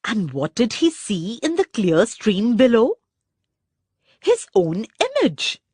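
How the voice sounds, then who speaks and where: A woman narrating a children's story from a script in an expressive, deliberate storybook manner. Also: a little high, husky, no echo, clean studio sound.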